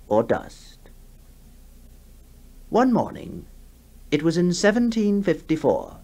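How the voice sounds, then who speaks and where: A man narrating a ghost story for 1960s radio in a measured, theatrical manner. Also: mid-range voice, darkish tone. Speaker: narrator and voice actor.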